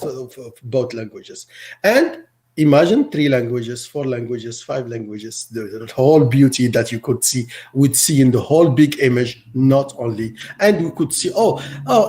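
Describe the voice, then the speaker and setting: A middle-aged man from Morocco whose first language is Arabic, answering interview questions in a halting way, often repeating words and restarting. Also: fairly high pitch, darkish tone. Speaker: teacher.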